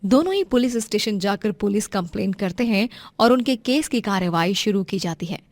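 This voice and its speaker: A woman's voice: mid-range voice, lively intonation, normal speed, a little mellow, no room sound. A woman narrating a scripted moral story as its storyteller.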